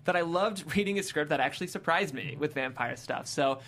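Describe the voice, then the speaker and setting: A woman's voice: sits low, fairly quick, fairly bright tone. A woman hosting a show and reading scripted stage directions with enthusiasm. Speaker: host and stage-direction reader.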